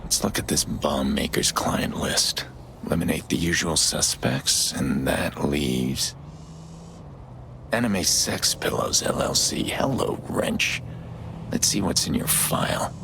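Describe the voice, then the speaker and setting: An actor playing a gruff middle-aged vigilante hacker in a video game, terse and deadpan, with a gravelly voice. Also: male voice, slow pace, noisy background, exaggerated voice. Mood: focused muttering. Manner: terse, low-key, deadpan. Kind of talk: video game dramatic acting.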